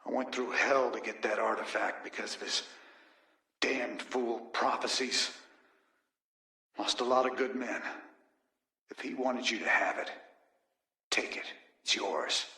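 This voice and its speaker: An actor playing a weary, gruff human rebel commander in a video game cutscene, with a plain-spoken drawl. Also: male voice, medium pitch, steady tone, measured pace, pauses often, exaggerated voice. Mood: bitter, resolute. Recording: loud music behind the voice.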